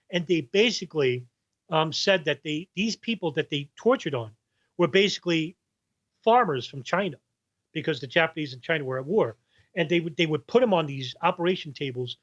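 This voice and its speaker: A man's voice: on the high side, fairly bright tone. New York man on a dull line. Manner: rambling, restarts while recalling names. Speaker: guest researcher. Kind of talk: podcast interview.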